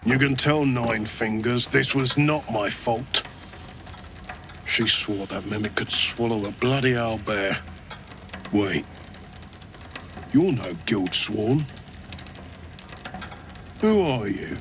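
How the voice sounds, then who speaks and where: A glib, money-loving banker in a fantasy video game. He talks slowly at a middling pitch, wry and evasive, with sarcasm under the polite patter. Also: a bit bright, a little hiss or hum. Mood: indignant. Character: self-serving male banker, wry, glib and greedy.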